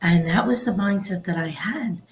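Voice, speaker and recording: A middle-aged woman hosting a podcast, talking in a soft, measured, reflective way. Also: lowish voice. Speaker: podcast host, real estate broker. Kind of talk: casual podcast conversation.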